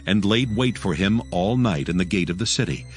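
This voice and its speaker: A man's voice: sits low, lively intonation, normal speed, soft background music. A man narrating scripted scripture as the storyteller of a dramatized Bible audio production.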